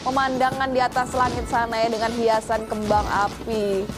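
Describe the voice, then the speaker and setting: A woman's voice: a little high, level tone, moderate pace. A woman presenting live television, chatting enthusiastically with a co-host as she comments on celebrations. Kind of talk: live broadcast commentary and co-host chat on New Year celebrations. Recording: loud music behind the voice. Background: loud music.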